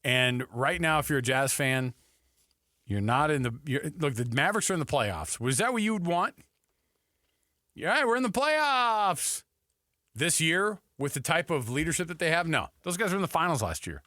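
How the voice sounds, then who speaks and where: A male sports radio host chatting casually on air. His voice is forceful and bright, recorded very dry and close. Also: on the high side, very animated, normal speed, no echo.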